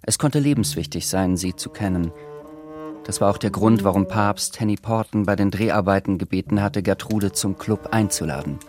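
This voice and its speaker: A man narrating a literary radio drama as a composed, measured storyteller. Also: on the low side, darkish tone, soft background music, close to an everyday voice.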